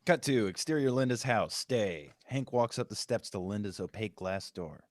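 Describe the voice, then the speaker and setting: A man with a low voice reads scene directions as scripted narration for a table read. The sound is dry and without room echo. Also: animated, moderate pace. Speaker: stage-direction reader.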